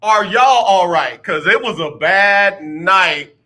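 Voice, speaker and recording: A man's voice: sits high, lively intonation, takes it slowly, bright, forceful tone. A man hosting a casual sports talk radio show, teasing listeners with rhetorical questions. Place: radio studio.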